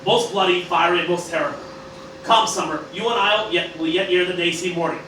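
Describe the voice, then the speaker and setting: A man's voice: sits high, steady tone, brisk, close to an everyday voice. A man playing a boisterous, scheming cartoon mad-scientist grandfather in a mock-Shakespearean radio play, theatrical and sometimes stumbling over lines. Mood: urgent. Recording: roomy, distant, noisy amateur group recording.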